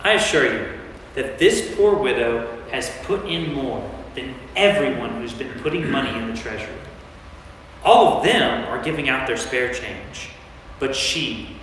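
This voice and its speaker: A man's voice: medium pitch, fairly bright tone, close to an everyday voice. Commanding teacher in an echoing church, acted by a man. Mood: earnest. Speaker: lay performer in a church staged reading. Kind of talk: staged scripture reading. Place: large reverberant church. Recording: echoey church, distant mic, faint noise.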